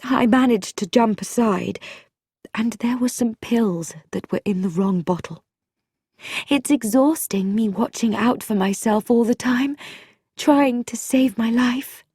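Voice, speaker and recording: A woman's voice: fairly high pitch, unhurried, mellow, husky, no echo, clean studio sound, pitched above the performer's own voice. A woman voicing a frightened, desperate young wife in a mystery story, hesitant and pleading. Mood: anxious, exhausted.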